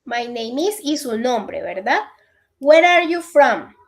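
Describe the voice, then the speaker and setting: A woman in her thirties with a high voice, teaching an online English class over a video call. She walks through each point step by step and keeps checking that her students follow. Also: very animated, slow. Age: 30s. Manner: explains step by step, checks in with repeated "listo". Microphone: computer mic on a video call.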